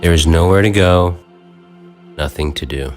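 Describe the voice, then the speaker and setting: Deep-voiced man guiding a slow, soft meditation over faint new-age music. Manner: calm, soft, unhurried instructions with long pauses. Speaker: meditation guide. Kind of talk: guided meditation.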